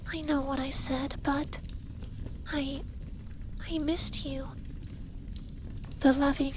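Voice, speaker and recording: A high-voiced, feminine-sounding dragon boyfriend acted by a man murmurs in a soft, breathy ASMR style. He takes his time, sounding possessive and stern yet caring. A faint but noticeable noise sits under the intimate roleplay recording. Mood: tender. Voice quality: soft, breathy, lapsing into whispers. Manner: commanding, teasing, tender.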